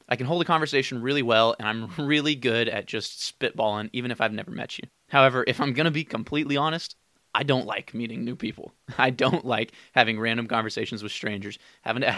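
A young man in his twenties talks through a solo podcast from his room, his voice slightly raspy because he is ill. He sits at a middle pitch and keeps things candid and casual, with laughs dropping into his sentences. The sound is close and dry. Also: pushed and bright, no room sound. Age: 20s. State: raspy from illness. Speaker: podcast host.

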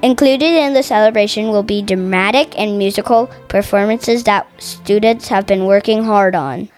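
A woman's voice: medium pitch, normal speed, darkish tone, faint music behind. A woman reading a scripted announcement of a school event.

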